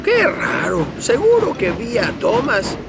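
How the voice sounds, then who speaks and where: Good-natured cartoon train, high-pitched, unhurried, Latin American Spanish, innocent. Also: male voice, wildly swooping pitch, vocal fry, full character voice. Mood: puzzled. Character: good-natured, puzzled male green steam engine. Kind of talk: cartoon dub acting. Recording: music behind the voice.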